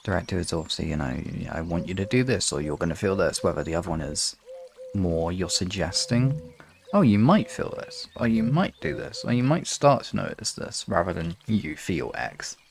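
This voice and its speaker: A man's voice: low voice, expressive, moderate pace, creaky. A man hosting a casual livestream, talking to viewers in an informal, rambling way. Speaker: livestream host.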